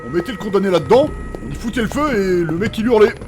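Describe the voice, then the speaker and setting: A man's voice: high voice, very animated, fast talker, music in the background. A man playing a sardonic, casually joking chemist with ghoulish humour in a fantasy comedy audio drama. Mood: gleefully ghoulish.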